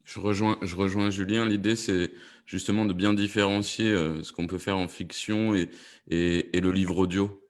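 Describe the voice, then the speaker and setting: A man's voice: low-pitched, fairly even intonation, moderate pace. A man speaking as a podcast producer on a discussion panel, explaining things and often rephrasing as he goes.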